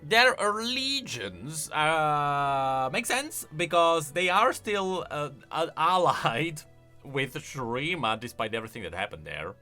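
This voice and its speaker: A man's voice: on the high side, sharp tone. A man hosting a video, giving off-the-cuff, emphatic commentary that explains game lore.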